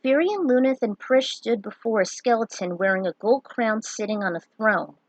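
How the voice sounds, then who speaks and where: A woman's voice: fairly high pitch, moderate pace, no room sound, low-quality recording. A woman reading fantasy fan fiction aloud as its narrator. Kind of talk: story narration read aloud.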